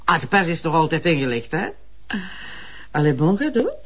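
An actress playing a tipsy, pushy Flemish café keeper, blunt and emphatic, in a naturalistic drama. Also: female voice, low voice, big pitch swings, normal speed. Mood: tipsy, bitter. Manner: blunt, bantering, drunkenly emphatic. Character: tipsy, brash, pushy woman running a seedy café. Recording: dull lo-fi broadcast sound.

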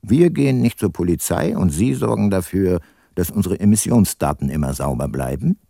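An actor playing a brooding, probing private detective, narrating his case in a measured way in a radio crime drama. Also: low-pitched, lively intonation, vocal fry, mellow, husky, no room sound, clean studio sound, heavily acted. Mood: brooding, reflective.

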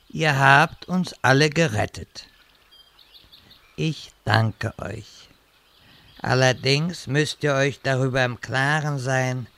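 A man playing a grave, grateful noble leader in a parody audio drama, formal and measured. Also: mid-range voice, creaky, bright, forceful tone, exaggerated voice.

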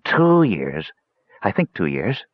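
A man's voice: low voice, huge pitch swings, slow, dry recording, heavily acted. A man voicing a grubby, simple-minded gully dwarf in a fantasy audiobook, speaking in short, broken, childlike phrases. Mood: proud, plodding. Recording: slightly dull, lo-fi audio.

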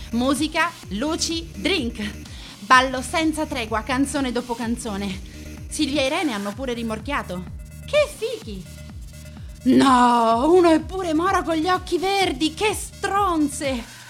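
A woman's voice: fairly high pitch, fairly bright tone. A young woman acting a vain, scornful teenage schoolgirl who narrates her days in a theatrical, confiding radio monologue. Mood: excited. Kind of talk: dramatic radio monologue in present tense.

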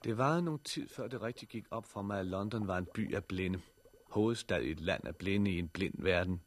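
An actor in a 1960s radio drama playing a sober male survivor who tells his story in a measured, serious way. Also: low-pitched. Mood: sober narration. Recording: slightly noisy old radio recording.

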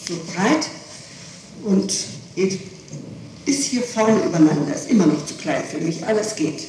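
A woman's voice: medium pitch, animated, moderate pace, mellow. A middle-aged woman answering questions in an interrogation, explaining insistently and repeating herself, on an old tape recording. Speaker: person being questioned by a prosecutor. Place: reverberant room, far from the microphone. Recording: echoey, distant, noisy archival tape recording.

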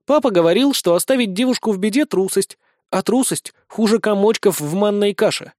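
A man voicing a polite, guileless young wanderer with a friendly deadpan manner in a fantasy audiobook. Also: high-pitched, fairly quick, no echo, clean studio sound, voice pushed up, close to an everyday voice. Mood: earnest. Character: polite, naive, oddly earnest young male wanderer.

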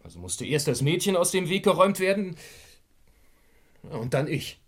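Gangster, husky voice, bitter, defensive, terse, dramatic emphasis, radio crime drama. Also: male voice, fairly high pitch, big pitch swings, moderate pace. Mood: anxious, bitter. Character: cornered male gangster confessing, bitter and defensive.

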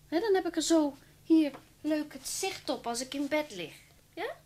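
A blunt, breezy woman in a naturalistic radio play. Her voice sits a little high and she chats casually, stating intimate things as plain matters of fact. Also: lively intonation, moderate pace, darkish tone. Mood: breezy, frank. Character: blunt, breezy woman, mistress of an older man. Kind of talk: naturalistic Dutch radio drama.

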